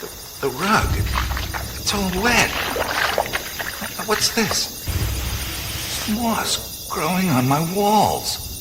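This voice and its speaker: A man playing a wry, uneasy adventurer in a radio drama, muttering halting reactions. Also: high-pitched, very animated, very noisy, heavily acted. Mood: alarmed, bewildered. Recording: voice over loud music bed.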